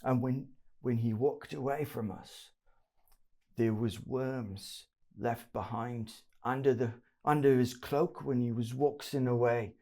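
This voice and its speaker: A man voicing a frightened lowlife thug in a tabletop game, stammering and pleading in rough, ungrammatical speech. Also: steady tone, takes it slowly, darkish tone. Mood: nervous, explaining.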